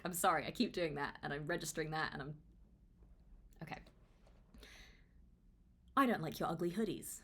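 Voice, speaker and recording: A young woman reading her own book aloud as its author, in an informal, conversational storytelling manner with casual asides. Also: lowish voice. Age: young adult. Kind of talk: audiobook-style read-along with casual asides.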